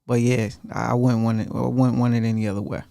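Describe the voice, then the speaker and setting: Soft-spoken, gravelly man as interview guest. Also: medium pitch, little pitch movement, fairly quick, no room sound. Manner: soft-spoken and hesitant, many ums. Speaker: guest entrepreneur. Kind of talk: interview answers. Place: studio. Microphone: studio mic.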